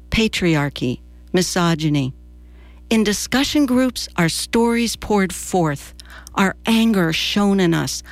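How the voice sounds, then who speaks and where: Low-voiced American woman in her sixties. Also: dry recording. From New Jersey, United States. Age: 60s. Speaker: radio commentator and presenter. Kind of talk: scripted personal essay read for radio.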